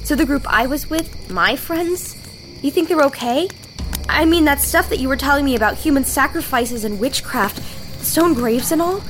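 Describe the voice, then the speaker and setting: A woman's voice: high-pitched, normal speed, a bit bright, slight background noise. An actress playing a worried young woman asking after her friends in a horror radio drama.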